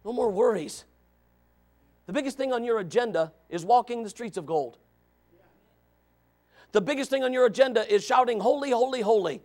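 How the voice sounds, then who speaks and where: A male pastor delivering a fiery revival sermon in a strikingly high voice for a man. He shouts his big lines and throws in quick jokes at the congregation between them. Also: lively intonation. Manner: loud, shouting, with joking asides to the congregation.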